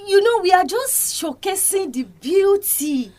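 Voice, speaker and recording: A flippant young Nigerian pageant supporter, played by a woman, chimes in during an audio drama. The voice is very high. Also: big pitch swings, a little slow, darkish tone, full character voice. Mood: protesting.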